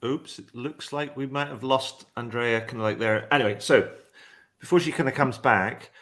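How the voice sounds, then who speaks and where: A man's voice: medium pitch, normal speed, fairly bright tone. A man moderating a panel in a casual, rambling way, with informal, complimentary talk.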